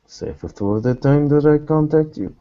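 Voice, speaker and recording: A man playing a cryptic, courteous private investigator in a tabletop game, speaking in a measured, formal way. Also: fairly high pitch, expressive, dull audio, pitched above the performer's own voice. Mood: guarded, courteous. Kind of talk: tabletop roleplay in character.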